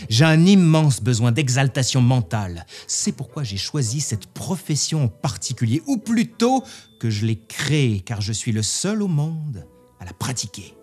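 A man presenting history in scripted narration, reading out quotations in an articulate, expressive way. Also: medium pitch, normal speed, slightly rough, faint music behind.

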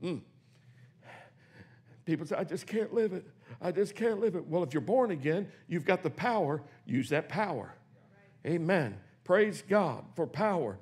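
A man in his sixties preaching a sermon in a church, swinging from hushed, quick asides to shouted exclamations. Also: on the high side, big pitch swings, slightly rough. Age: 60s. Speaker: pastor. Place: church sanctuary.

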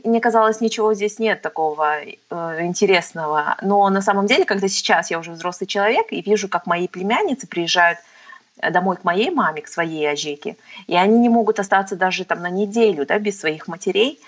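A woman in her thirties, a podcast guest, telling her life story in a reflective, unhurried way with frequent fillers. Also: mid-range voice, animated, dull audio. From Kazakhstan. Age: 30s. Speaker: guest, tax consultant. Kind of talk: casual interview storytelling.

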